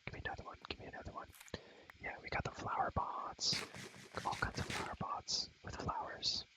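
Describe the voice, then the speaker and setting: A man's voice: brisk, rough recording. A young man whispering ASMR commentary over a game in a rambling, enthusiastic, slangy way, often repeating his phrases. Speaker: ASMR creator showing a game world.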